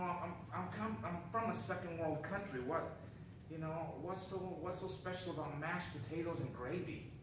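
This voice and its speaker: A man from Chile giving an animated, laughing impromptu speech at a club meeting, often asking the room rhetorical questions. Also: fairly high pitch. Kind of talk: storytelling speech. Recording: echoey room, far from the mic, clear background noise.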